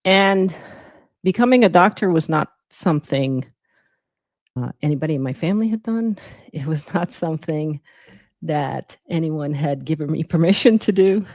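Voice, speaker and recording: A middle-aged woman from Guyana who grew up in New York, telling her personal story reflectively as an interview guest, with thoughtful, deliberate phrasing. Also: low voice, animated, a little mellow, no echo, clean studio sound. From born in Guyana, raised in the Bronx from age seven. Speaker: interview guest, emergency physician.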